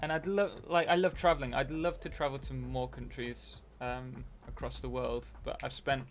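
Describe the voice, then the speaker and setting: A man's voice: mid-range voice, flat delivery, normal speed, slight background noise. A young man hosting a casual live stream while playing a game, rambling and answering chat messages.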